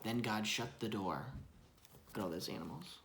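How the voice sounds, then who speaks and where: Low voiced lady reading a bible story to a little kid, expressive, echoey room. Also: normal speed, gravelly, a little hiss or hum. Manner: expressive, asking the child simple questions. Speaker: storybook reader to a young child. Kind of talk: children's Bible story read aloud with chat.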